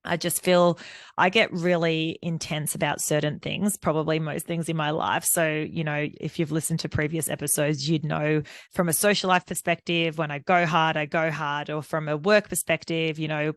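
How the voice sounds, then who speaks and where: A woman's voice: deep voice, level tone, normal speed, no echo. A woman, hosting a solo podcast in a candid, rambling way with lots of fillers. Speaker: podcast host. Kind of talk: unscripted solo podcast monologue.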